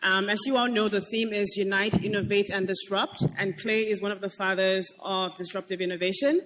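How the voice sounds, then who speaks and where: High bright-voiced man giving thanks. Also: steady tone, brisk. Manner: polite, formal. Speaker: event organizer. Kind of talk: closing thanks.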